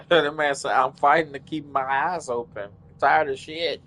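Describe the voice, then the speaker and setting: A man's voice: on the high side, moderate pace, a bit bright. A man giving informal, casual reaction commentary as he plays a game, swearing freely. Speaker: gamer.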